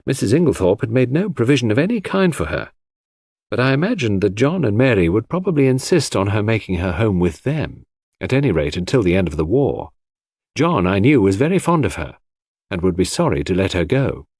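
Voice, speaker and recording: A man's voice: lowish voice, very animated, brisk, darkish tone, husky, no echo, clean studio sound. A man reading a classic mystery novel as an audiobook narrator, in scripted dramatic narration.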